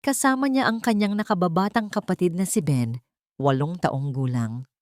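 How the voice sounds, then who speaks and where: A woman's voice: fairly low pitch, normal speed, darkish tone, no echo, studio quality. A woman narrating a dramatic scripted story with solemn, emotive delivery.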